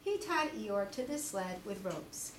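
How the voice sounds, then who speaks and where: A woman reading a children's story aloud like a teacher, in an animated read-aloud style. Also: mid-range voice. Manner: pauses to question young listeners. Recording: roomy, distant mic, faint background noise.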